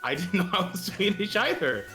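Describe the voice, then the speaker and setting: A man with an American accent, a guest game master explaining settings casually, relaxed and chuckly. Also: sits high, normal speed, soft background music. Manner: relaxed, chuckling, hesitant with fillers.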